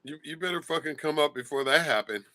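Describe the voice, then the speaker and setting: A man in his late fifties on a livestream, loud and profane, laughing often. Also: fairly high pitch, quick pace, no echo. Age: 50s. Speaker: livestream co-host. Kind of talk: casual livestream chat.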